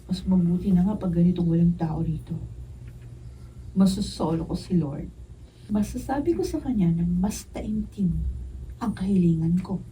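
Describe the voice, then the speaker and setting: A woman's voice: on the low side, moderate pace, pauses often, warm tone, close to an everyday voice. A woman playing a bold, lovestruck young lady in a radio drama, pouty, forward and yearning. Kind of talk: Filipino radio drama acting. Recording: muffled distant mic, noisy room.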